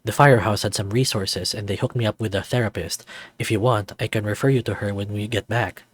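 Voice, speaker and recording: A man playing a caring young firefighter in a visual novel, speaking in an earnest, gentle, reflective way. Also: lowish voice, steady tone, brisk, breathy edge, no echo. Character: caring young male firefighter, steady and sincere.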